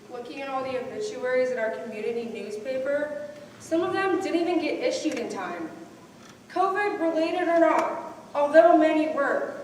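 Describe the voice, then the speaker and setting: A woman on stage playing a solemn, compassionate reporter of a community's losses. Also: high-pitched. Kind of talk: scripted stage monologue. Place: on stage in a large hall. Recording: echoey hall from far off, faint noise.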